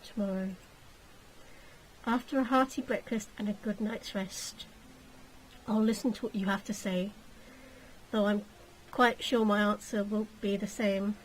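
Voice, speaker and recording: A woman reader voicing a gentle, patient young man who soothes his fiancée in a storybook reading. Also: mid-range voice, steady tone, measured pace, long pauses, a little hiss or hum, close to an everyday voice, rough recording. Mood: tender. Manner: soft, tender, reassuring.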